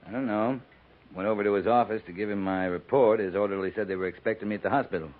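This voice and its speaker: An actor playing a weary, laconic frontier cavalry captain with dry humor in a 1950s radio western. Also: male voice, lowish voice, steady tone, fast, natural voice. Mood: businesslike. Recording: tinny, hissy 1950s radio recording.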